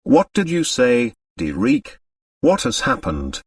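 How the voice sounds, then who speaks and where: A man's voice: medium pitch, huge pitch swings, slow pace, no echo, clean studio sound, distant mic, exaggerated voice. A man voicing a languid, self-absorbed, seductive woman dancer in an audiobook, theatrical and murmuring. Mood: matter-of-fact, demanding.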